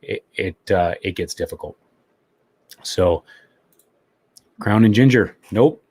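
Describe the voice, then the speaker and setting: A man hosts a motorcycle live stream from home, talking in an easygoing, chatty way. His voice sits low. The sound is dry and close. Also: takes it slowly, a little mellow, breathy edge, no room sound. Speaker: motovlog live stream host. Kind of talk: casual live stream chat.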